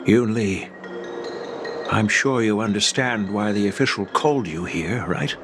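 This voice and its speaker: A man playing a dignified, caring elderly grandfather in a video game, speaking in a measured, solemn, formal way. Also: on the low side, close to an everyday voice. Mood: stern, formal. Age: older. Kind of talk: scripted game dialogue. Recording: background music under the voice.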